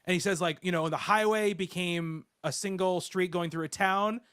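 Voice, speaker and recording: A man's voice: sits high, fairly even intonation, moderate pace, fairly bright tone, clear, resonant tone. A man co-hosting a book club chat, teasing his co-hosts and telling stories playfully. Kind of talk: casual chat.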